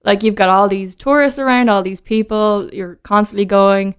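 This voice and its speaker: Chatty Irish woman with a smooth voice. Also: medium pitch, fairly even intonation, normal speed. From Dublin, Ireland. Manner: chatty, laughs easily. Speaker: guest, whiskey brand ambassador. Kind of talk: casual interview chat.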